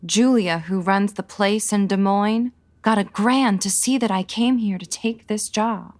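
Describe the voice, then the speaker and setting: A woman's voice: medium pitch, unhurried, darkish tone, lightly acted. An actress playing a worried, earnest showgirl in the melodramatic manner of 1940s radio noir.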